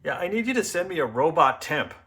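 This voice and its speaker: A man's voice: on the high side, very animated, fast, noisy background. A man acting as an insistent customer dealing with a temp robot in a comedy sketch. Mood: hesitant, polite.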